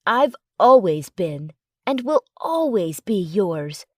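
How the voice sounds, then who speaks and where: A woman's voice: mid-range voice, sing-song, slow pace, no room sound, clean studio sound, pitched below the performer's own voice. A woman voicing a playful, affectionate young male werewolf boyfriend who teases and reassures, in audiobook dialogue. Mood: soothing, affectionate. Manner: teasing, affectionate.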